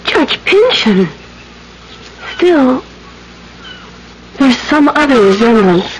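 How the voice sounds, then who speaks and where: A woman's voice: high voice, very animated, extremely slow, heavily acted. An actress playing a wary, curious young woman in an old-time gothic radio drama, with a questioning, theatrical manner. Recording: noisy old radio broadcast.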